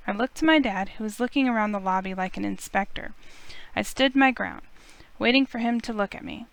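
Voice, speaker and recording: Clear-voiced young heiress narrating, acted by a woman. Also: medium pitch, a little fast, lightly acted. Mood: reflective, tense. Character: young heiress heroine, earnest, anxious, narrating her story. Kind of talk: scripted first-person visual novel narration.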